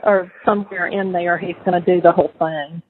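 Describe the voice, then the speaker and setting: A woman's voice: lowish voice, fairly even intonation, a little fast, slightly rough. A middle-aged woman talking casually on a recorded phone call. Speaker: mother on a call to her son in jail. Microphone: telephone.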